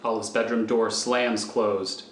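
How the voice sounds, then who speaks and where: A man narrating scripted stage directions for a radio thriller in a measured, matter-of-fact manner. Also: fairly low pitch, flat delivery. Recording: echoey room, faint noise.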